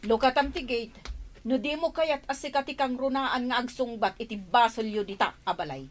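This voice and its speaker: A woman's voice: a little high, normal speed, a little hiss or hum, natural voice. A woman playing a threatening, imperious visitor in a Filipino audio drama, with an Ilocano accent. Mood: angry, threatening. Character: threatening, imperious woman demanding the gate be opened.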